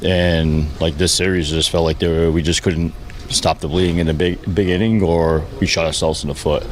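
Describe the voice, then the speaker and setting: A man who manages a baseball team answers reporters after a game in a halting, plain-spoken way. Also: deep voice, steady tone. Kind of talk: post-game press conference answers. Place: post-game press session with reporters. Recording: faint background noise at press session.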